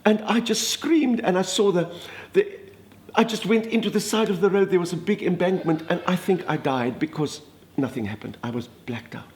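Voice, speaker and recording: A man's voice: sits high, moderate pace, a little mellow, natural voice. A man doing a gushing older Afrikaans lady. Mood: gossipy. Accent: Afrikaans South African. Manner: gushing, dramatic, exclamatory. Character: chatty older Afrikaner woman, minister's wife, theatrical. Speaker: comedic monologist. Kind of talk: comic storytelling monologue.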